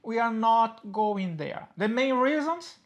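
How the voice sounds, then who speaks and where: A woman's voice: fairly high pitch, lively intonation, slow. Brazilian woman, portuguese accent, chatty and upbeat, little grammar slips, just explaining stuff to camera. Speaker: co-host of a personal finance channel. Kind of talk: casual explanatory chat to camera.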